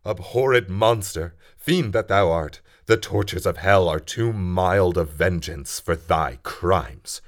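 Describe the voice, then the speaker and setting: A man voicing an enraged young male scientist who curses his creation in loud, declamatory outbursts. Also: sits low, takes it slowly, hardly any pauses. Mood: furious.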